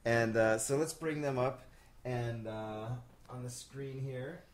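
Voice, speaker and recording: A man's voice: medium pitch, slow pace. A man hosting a casual live video chat, talking loosely with many fillers and frequent laughs. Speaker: show host.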